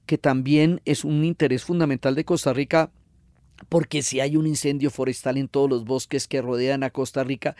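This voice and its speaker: A man's voice: on the high side, darkish tone, no room sound. A man giving a conversational history talk in a didactic, emphatic way, with rhetorical questions and repetition. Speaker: history lecturer and narrator.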